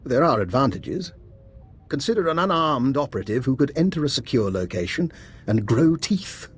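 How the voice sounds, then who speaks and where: A covert scientist in a video game, urbane and unnervingly composed. His mid-pitched voice is a little husky, and he phrases everything with formal, understated politeness that carries quiet menace. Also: big pitch swings, normal speed, faint music behind. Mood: sly, composed. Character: eerily calm, politely sinister male doctor and covert scientist.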